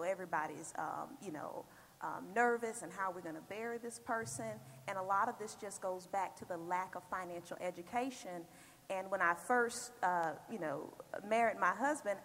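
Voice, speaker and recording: A woman panelist and educator speaking in a measured, reflective way, with frequent ums. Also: medium pitch, a bit bright. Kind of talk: panel discussion. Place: large church hall. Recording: roomy hall with faint hum.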